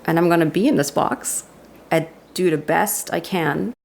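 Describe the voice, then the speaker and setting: Low gravelly woman, Austrian accent. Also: slight background noise. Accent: Austrian German. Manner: candid, laughs often, quick self-deprecating asides. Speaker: guest, commercial director. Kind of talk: casual interview chat.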